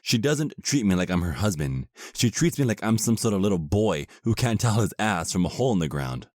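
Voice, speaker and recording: A man's voice: fairly low pitch, very animated, fairly quick, a little mellow, no room sound, clean studio sound. A man playing an exasperated, sarcastic vampire husband, centuries old but youthful, in a comedic audio roleplay. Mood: indignant. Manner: sarcastic, complaining.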